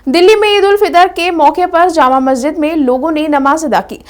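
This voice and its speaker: Female news presenter, high voice, scripted bulletin delivery. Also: moderate pace.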